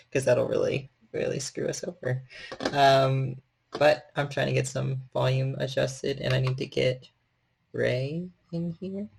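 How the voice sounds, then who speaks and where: A man's voice: mid-range voice, fairly even intonation, measured pace, creaky, a little hiss or hum. A man chatting casually as the host and storyteller of a livestream. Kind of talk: casual livestream hosting chat.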